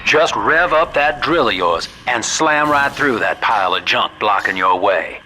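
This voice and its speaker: A middle-aged fixer in a video game, sly and folksy. His coaxing talk rises and falls in lively swings, with plenty of noise around it. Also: mid-range voice, unhurried. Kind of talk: video game radio guidance.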